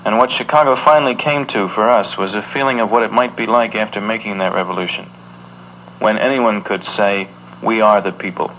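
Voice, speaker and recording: A man's voice: lowish voice, brisk. A man, an activist, reads a reflective prepared narration. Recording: dull, noisy archival audio.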